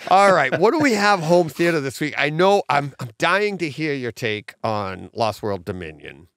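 A middle-aged man hosting a home theater podcast, animated and teasing, laughing often, on a desk microphone with headphones in a home studio. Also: fairly high pitch, a little slow. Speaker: podcast host. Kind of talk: casual chat.

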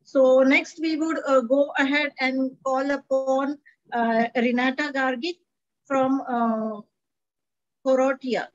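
A woman's voice: sits high, steady tone, slow, muffled recording. A woman moderating a webinar, politely thanking speakers and calling on the next guest. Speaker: webinar host. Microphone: video call.

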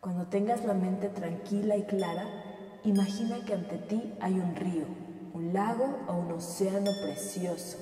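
A woman guiding a scripted sleep meditation in a slow, soothing, gentle manner. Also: medium pitch, faint music behind. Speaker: meditation guide. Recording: echoey with long reverb.

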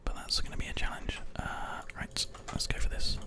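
A person whispering ASMR-style gameplay commentary as host, close to a binaural microphone, with keyboard and mouse clicks behind. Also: normal speed. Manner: hushed whispering throughout. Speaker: gaming host.

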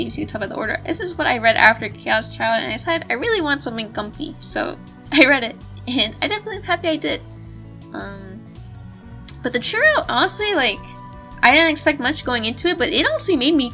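A woman's voice: a little high, sharp tone. A woman talking off the cuff in a casual, rambling, giggly way with some swearing, like a video creator giving her opinions. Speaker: video creator reviewing visual novels. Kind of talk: unscripted casual chat.

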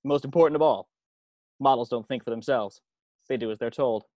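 A man's voice: medium pitch, brisk, mellow, no room sound, studio quality, natural voice. A man playing a cocky, secretive former hand model with a dramatic, knowing, slangy delivery in an amateur comedy script read. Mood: grave, confiding. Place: indoors at home on a video call. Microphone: video call.

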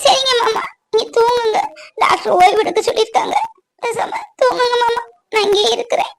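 A woman's voice: very high voice, a little fast. A woman playing an anxious young wife in a family melodrama, tearful and pleading. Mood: tearful, tender. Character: anxious, weepy young wife of an injured man. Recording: clearly noisy track with clicks.

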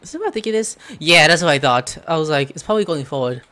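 Young man with animated gameplay commentary. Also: on the high side, fast. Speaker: gaming streamer. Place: indoor room, webcam setup.

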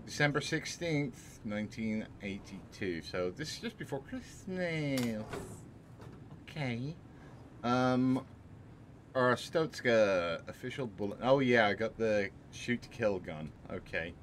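A man's voice: medium pitch, slow, over music. A man in his thirties with a sore throat, chatting casually and thinking aloud as he plays a game in a small home room. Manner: relaxed, rambling, thinking aloud. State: sore throat, voice lower than normal. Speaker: streamer. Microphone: headset with desk mic.

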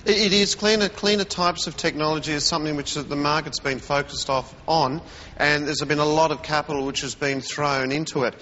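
Bright-voiced man on a roomy studio link. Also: fairly high pitch, fairly even intonation, lo-fi sound, slight background noise. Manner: measured, with frequent murmured acknowledgments. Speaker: commodities analyst guest. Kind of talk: live TV news interview. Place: remote TV studio.

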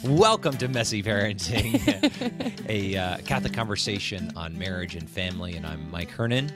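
A middle-aged American man co-hosting a podcast in casual conversation, often halting and repeating the starts of phrases. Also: lowish voice, sing-song, music in the background. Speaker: podcast co-host.

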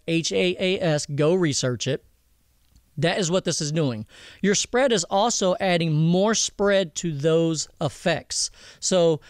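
A man explaining audio software step by step in an informal tutorial, often checking that the listener follows. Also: a little high, clear, resonant tone, no echo, studio quality. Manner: explanatory, checks understanding with "okay?", "all right?". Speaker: audio mixing instructor.

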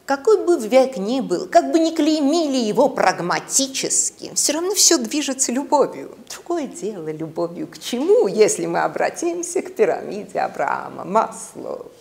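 Female lecturer, middle-aged. Theatrical storyteller, highly animated intonation, gravelly creak, deliberate pauses. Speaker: university lecturer. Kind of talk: dramatic lecture on literature and mythology. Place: classroom, indoors.

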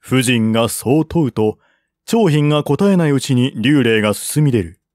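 Mid-pitched male historical audiobook narrator. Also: animated, moderate pace, a little mellow, no echo, clean studio sound. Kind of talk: formal scripted audiobook narration of a historical novel.

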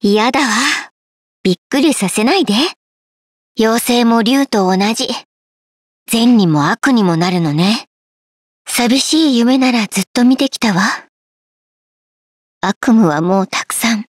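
An actress playing a soft, dreamy dragon woman in a video game, with a tender, sleepy, wistful delivery. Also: fairly high pitch, animated, a little fast, long pauses, dry recording, clean studio sound. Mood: startled, musing. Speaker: voice actor. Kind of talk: scripted Japanese game character voice clips.

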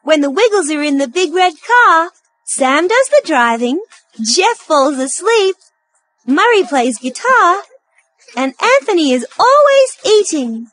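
Sweet girlish dinosaur, children's TV, very high, swooping intonation, bubbly, faint background noise. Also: very animated, unhurried, a bit bright, heavily acted. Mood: friendly. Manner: bubbly, sing-song greeting. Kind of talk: children's show character performance.

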